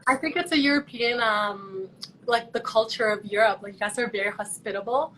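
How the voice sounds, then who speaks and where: A woman's voice: on the high side, fairly quick, fairly bright tone, a little hiss or hum, rough recording. A young woman from Canada, a pageant contestant, chatting earnestly and thoughtfully over a video call. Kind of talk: casual video call chat.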